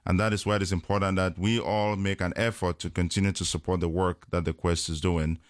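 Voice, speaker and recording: A man from Cameroon, a parish priest chatting on a radio call-in show, talking slowly with many fillers and chuckles. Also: sits low, little pitch movement, no room sound, clean studio sound. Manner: slow, hesitant, many fillers, chuckles often. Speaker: priest guest. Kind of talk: casual radio chat. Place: radio studio.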